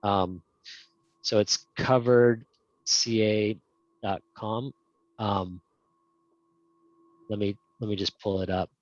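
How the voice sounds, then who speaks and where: A man's voice: lowish voice, fairly even intonation, slow pace, long pauses, no room sound. Hesitant man on a muffled call. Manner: hesitant, many ums and restarts. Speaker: guest speaker on insurance. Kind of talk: casual webinar talk. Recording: muffled lo-fi video call audio.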